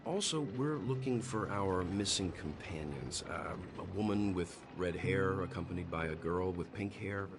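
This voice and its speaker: A man playing a thoughtful, courteous investigator in a video game, speaking in a measured, formal, reflective way. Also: low voice, noisy background. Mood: polite. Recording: music behind the voice.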